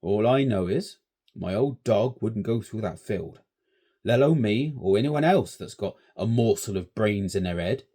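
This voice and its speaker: A man voicing a grave, superstitious old shepherd who talks slowly in rustic dialect. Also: fairly low pitch, animated, warm tone, husky.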